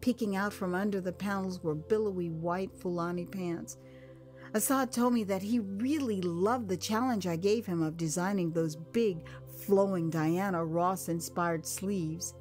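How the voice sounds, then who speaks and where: An American woman narrating her own memoir as an audio drama, with theatrical, expressive delivery that savours the words. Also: fairly low pitch, measured pace, soft background music. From United States.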